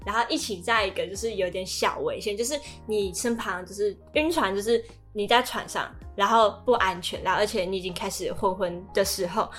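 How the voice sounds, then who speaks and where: A woman's voice: mid-range voice, brisk, a bit bright. A young woman with a Taiwanese accent co-hosts a casual podcast. She has a mid-range voice and talks informally, with faint music behind her. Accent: Taiwanese Mandarin. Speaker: podcast co-host. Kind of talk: casual chat.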